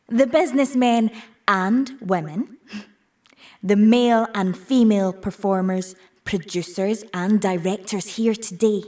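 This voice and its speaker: An actress playing a persuasive, idealistic businesswoman who delivers a measured, rhetorical keynote speech in an audio play. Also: medium pitch, a little mellow, far from the mic. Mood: challenging. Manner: measured, rhetorical, emphatic pauses. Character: persuasive, idealistic businesswoman addressing her industry. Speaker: actor.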